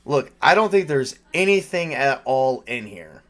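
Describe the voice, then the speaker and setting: A man's voice: mid-range voice, sing-song, measured pace. A man playing an anxious, skeptical college student in a tabletop roleplaying game, incredulous, blunt and a bit rambling.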